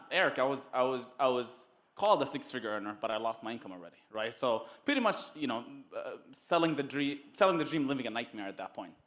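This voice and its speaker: A man giving a casual testimonial as a guest, halting and often repeating his words. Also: mid-range voice, fairly bright tone. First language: Arabic. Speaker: summit guest, network marketer.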